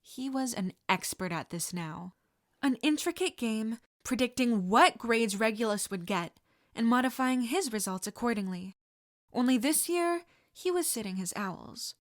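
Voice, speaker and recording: A woman reading fan fiction aloud as an audiobook narrator, steady and expressive. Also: on the high side, measured pace. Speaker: podfic reader.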